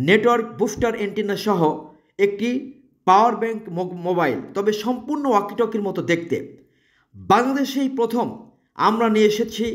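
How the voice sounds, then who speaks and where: Man, high-pitched voice, Bangladeshi accent, emphatic product presenter, promotional delivery, repeated selling phrases.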